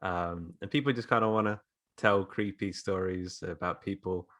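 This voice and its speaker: A man's voice: deep voice, fairly even intonation, normal speed, fairly bright tone. A man chatting casually as a podcast panelist in an easygoing way. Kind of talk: casual chat.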